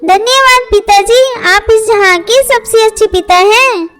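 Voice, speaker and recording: A woman's voice: very high voice, a little slow. A woman voicing a young girl bird in a Hindi children's cartoon. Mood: eager. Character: young girl birds, one haughty rich, one humble poor. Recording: loud music bed under voice. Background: loud music.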